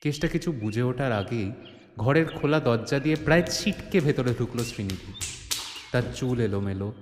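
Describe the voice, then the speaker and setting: A man's voice: medium pitch, animated, moderate pace, darkish tone, a little hiss or hum. A man narrating an audio drama as a suspenseful, theatrical storyteller. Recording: echoey, long reverb, music behind. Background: music.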